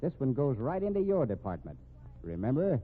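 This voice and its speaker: An actor in a 1950s radio drama playing a gruff, exasperated police captain with a slangy, needling, sardonic manner. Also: male voice, mid-range voice, big pitch swings, fairly quick. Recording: tinny, noisy old radio recording.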